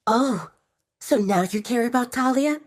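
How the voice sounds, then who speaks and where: A woman voicing a stern, protective female werewolf second-in-command in an audio drama, firm and sharp-tongued. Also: a little high, a little slow, no room sound, clean studio sound, voice pushed up. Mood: sarcastic. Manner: firm, sarcastic.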